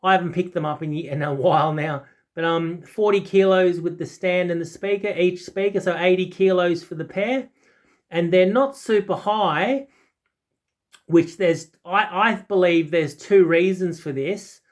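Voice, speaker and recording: A man's voice: high voice, unhurried. A man in his forties giving a casual, unscripted product talk, rambling and often hesitating or correcting himself. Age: 40s. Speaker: audiophile video host.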